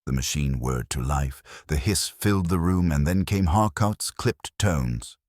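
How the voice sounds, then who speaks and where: A man with an extremely low voice narrates a period mystery. His tone is husky and his pitch moves expressively through measured, literary sentences. The sound is dry and close. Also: animated, a little mellow, dry recording. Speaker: narrator of a detective story.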